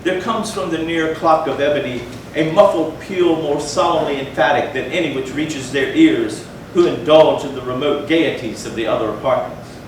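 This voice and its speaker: Distant echoing man giving theatrical gothic reading. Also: a little high. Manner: measured, theatrical, deliberate phrasing with pauses. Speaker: readers theatre performer. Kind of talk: dramatic stage reading of a gothic tale. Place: large echoey room, live stage performance. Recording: echoey hall from far off, noisy.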